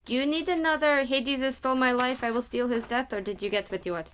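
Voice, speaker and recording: A woman's voice: high-pitched, fairly even intonation, quick pace, fairly bright tone, slight background noise. A woman actor rehearsing dramatic lines and bantering between takes.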